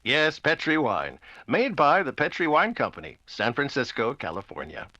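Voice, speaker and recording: A man's voice: medium pitch, wildly swooping pitch, moderate pace, bright, forceful tone, dry recording, muffled recording, exaggerated voice. A man playing a chummy, hearty 1940s radio announcer with folksy, emphatic patter. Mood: formal announcing.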